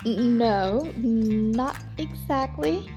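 An actress playing a sheepish young woman with a halting, hesitant manner in an audio drama. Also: a little high, music in the background. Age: young adult. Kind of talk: naturalistic audio drama acting.

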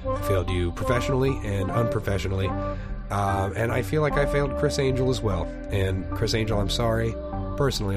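A man with a low voice hosts his podcast while sick and recovering from a lost voice. He rambles through self-deprecating apologies in a loose, casual way. Loud music plays under his voice. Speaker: podcast host. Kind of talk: casual solo intro monologue.

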